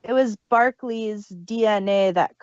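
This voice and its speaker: A woman's voice: mid-range voice, unhurried, no echo, muffled recording. A woman co-hosting a podcast, chatting casually in a wry, joking manner. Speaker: podcast co-host. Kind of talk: casual chat.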